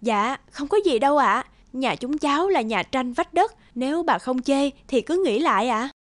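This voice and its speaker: A kind young peasant mother in a cartoon folk tale. Her voice is fairly high, and she speaks gently and respectfully. The recording is dry. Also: lively intonation, normal speed, no echo. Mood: gently insistent. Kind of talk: animated folk-tale acting.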